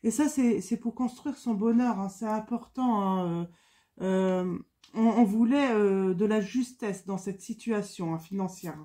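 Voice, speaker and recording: Tarot reader with a cold, hesitant. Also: female voice, mid-range voice, a little slow, warm tone. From France. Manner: hesitant, many fillers and repetitions. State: has a cold. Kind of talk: casual spoken tarot reading.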